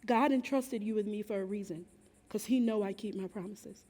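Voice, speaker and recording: A woman's voice: medium pitch, a little fast, warm tone, close to an everyday voice. An actress on a theatre stage playing a tender, resolute enslaved mother with folksy storytelling. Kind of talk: stage play monologue. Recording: reverberant theatre stage recording.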